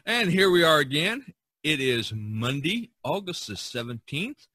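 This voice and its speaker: An American man hosting a podcast in casual chat, talking in a halting, folksy way. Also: on the high side, sing-song, pushed and bright, dry recording. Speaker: podcast host.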